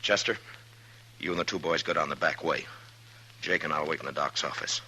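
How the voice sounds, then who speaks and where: An actor playing a stern, steady American marshal of the Old West in 1950s radio western style, speaking firmly and deliberately. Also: male voice, a bass voice, a little hiss or hum, full character voice, poor audio. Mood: commanding. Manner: firm, deliberate, commanding. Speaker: lead actor and first-person narrator. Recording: old radio broadcast with music.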